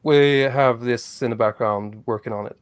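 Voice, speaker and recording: A man speaking as a guest on a livestream, explaining his project in a halting way with many fillers. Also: medium pitch, clear, resonant tone, no echo. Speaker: guest, crypto project developer. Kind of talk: casual livestream chat.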